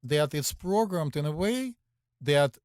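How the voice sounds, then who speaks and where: A man's voice: on the high side, lively intonation, slow pace, a little mellow, clean tone, no echo, studio quality. Russian-accented man, casual and rambling. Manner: rambling, hesitant with many fillers. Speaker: podcast host. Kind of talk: casual chat.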